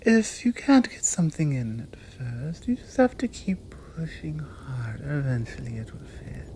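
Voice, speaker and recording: A woman with a British accent playing a seductive, commanding monster woman in an intimate ASMR roleplay, teasing and often dropping into a breathy whisper. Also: low voice, very animated, moderate pace, warm tone, slight background noise. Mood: seductive, pleased. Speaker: audio roleplay performer.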